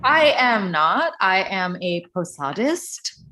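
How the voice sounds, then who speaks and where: A woman's voice: medium pitch, big pitch swings, takes it slowly, bright, forceful tone, full character voice. A woman playing an eccentric, blunt and flippant leftist in a live staged play reading. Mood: defiant. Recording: faint noise and clicks.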